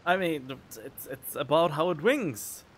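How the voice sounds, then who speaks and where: Man, casual let's play commentary, animated intonation, higher pitch, halting word repeats, faint background noise. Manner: halting, repeats words as thinking aloud. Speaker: game streamer.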